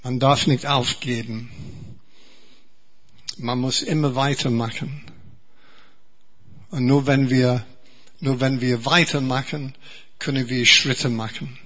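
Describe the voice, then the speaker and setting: An Englishman preaching in German, a second language learned alongside his native English, speaking slowly and earnestly with many repetitions and restarts. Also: mid-range voice, steady tone, a bit bright, lo-fi sound. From England. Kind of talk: sermon.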